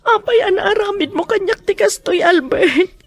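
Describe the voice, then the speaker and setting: A woman's voice: extremely high pitch, moderate pace, dry recording. A woman acting a sorrowful, betrayed wife in a radio drama, plaintive and hesitant, with an Ilocano accent. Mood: hurt, pleading. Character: Roxanne, sorrowful betrayed wife, meek and pleading.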